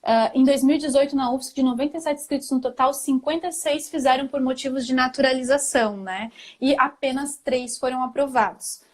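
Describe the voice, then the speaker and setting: Brazilian lady teacher on a video call, high voice, lots of "né", explains slowly. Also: steady tone. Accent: Brazilian Portuguese. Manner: thoughtful explainer, many fillers and tag questions. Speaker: Portuguese language teacher. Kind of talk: explaining in a live online talk.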